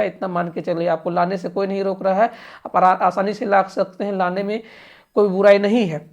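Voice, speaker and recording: A woman's voice: mid-range voice, darkish tone. A woman giving informal political commentary, emphatic and often restating her points. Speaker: news commentator. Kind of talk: informal political commentary and explainer, addressing government directly.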